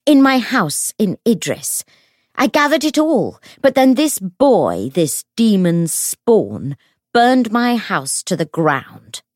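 A woman voicing a menacing, raging noblewoman in an audiobook, with a hoarse, hissing, theatrically accusing delivery. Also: mid-range voice, big pitch swings, slow pace, darkish tone, dry recording, clean studio sound, voice pushed up.

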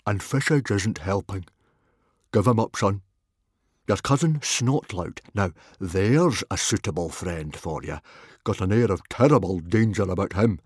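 Big dumb hearty viking dad for a kids story, loud blustery husky voice, pitch jumping all over. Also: male voice, lively intonation, measured pace, no echo, clean studio sound, heavily acted. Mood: hearty, urging. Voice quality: booming, husky. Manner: roaring, bellowing, blustering. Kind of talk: children's audiobook character voice.

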